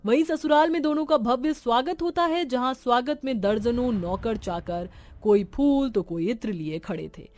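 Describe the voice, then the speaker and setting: High-voiced woman narrating a story. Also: moderate pace, a little mellow, no room sound. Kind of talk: dramatic scripted narration. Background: music.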